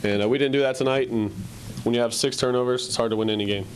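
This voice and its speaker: A man's voice: medium pitch, fairly even intonation, fast, noisy background. A man from a football team answering in a casual post-game interview.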